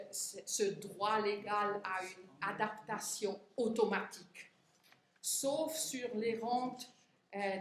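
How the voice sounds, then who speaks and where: A middle-aged woman from Switzerland giving a talk in French, which is not her first language, hesitating often with fillers and restarts. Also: medium pitch. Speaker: conference speaker. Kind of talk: lecture to union retirees. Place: conference hall. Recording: echoey hall, faint room noise.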